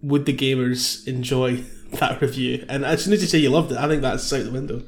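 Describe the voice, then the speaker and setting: A man's voice: medium pitch, steady tone, a little fast. A man speaking casually as a panelist on a comedy game show podcast. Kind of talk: casual comic banter.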